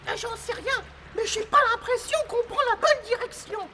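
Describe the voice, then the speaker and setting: A woman voicing a nervous, easily overwhelmed young mouse in a French cartoon dub, squeaky and dramatic. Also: normal speed, noisy background. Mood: fearful, weary. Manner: dramatic, exclamatory. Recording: music under the voice.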